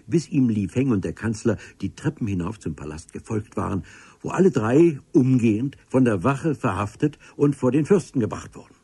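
A man narrating a radio play in a wry, measured storytelling voice. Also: medium pitch, animated, mellow, slightly rough.

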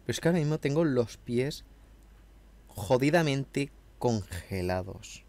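A man's voice: medium pitch, animated, a little slow, darkish tone. A man from with a slight cold, chatting casually and swearing while commentating a video game from home. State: has a cold, throat a bit hoarse. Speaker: gameplay commentator. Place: at home in a room.

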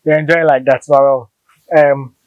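A man's voice: medium pitch, level tone, normal speed, muffled recording. A young man interviewing a farmer outdoors on a lapel mic, curious and conversational, often echoing the guest's last words. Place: open-sided farm shelter outdoors.

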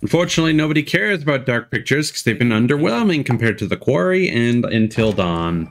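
A man's voice: mid-range voice, big pitch swings, a little fast. A man streamer giving casual, blunt live reactions. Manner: blunt, sweary. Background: trailer music.

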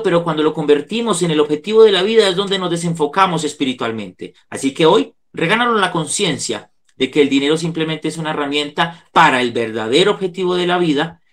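Earnest Colombian man, slightly high voice. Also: a bit bright. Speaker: psychologist giving a talk. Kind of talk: devotional talk with prayer. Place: indoor room, on a video call. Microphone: video call microphone.

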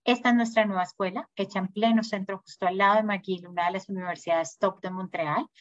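A woman's voice: mid-range voice, fairly even intonation, brisk, dry recording, lo-fi sound. A woman presenting study abroad programs in an upbeat, informative sales talk. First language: Spanish. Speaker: school sales manager presenting. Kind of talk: webinar presentation.

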